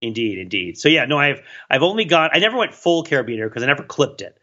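A man co-hosting a podcast in casual, rambling chat, talking in an animated, self-deprecating and digressive way. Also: medium pitch, fast, dull audio. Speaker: podcast co-host.

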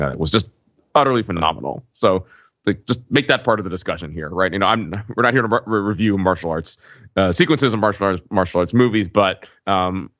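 Low male voice, dull dry recording, stop-and-start phrasing, casual film podcast chat. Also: fairly bright tone, dry recording. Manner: halting, stop-and-start, many filler tags. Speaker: podcast co-host. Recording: dull, slightly lo-fi, dry microphone.

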